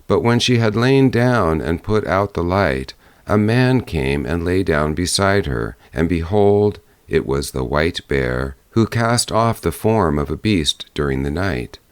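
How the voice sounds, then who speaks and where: A man narrating a fairy-tale audiobook in a measured storytelling manner. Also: low-pitched, animated, clear, resonant tone, no room sound.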